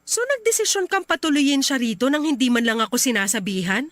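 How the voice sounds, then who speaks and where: A woman playing an expressive young wife and mother in a radio drama, emphatic and full of pointed questions. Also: high-pitched, brisk, no echo. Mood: exasperated, reproachful. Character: exasperated young wife and mother, teasing then reproachful. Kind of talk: radio drama acting in dialogue. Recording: music under the voice. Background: background music.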